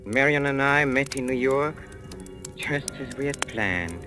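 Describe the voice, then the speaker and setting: A man's voice: medium pitch, lively intonation, unhurried, sharp tone. An actor playing a furtive professor with a guilty secret in 1940s radio suspense style, hesitant and stammering in his speech. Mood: brooding, narrating. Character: furtive professor, nervously jolly, haunted wife-killer. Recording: vintage radio recording, music underneath.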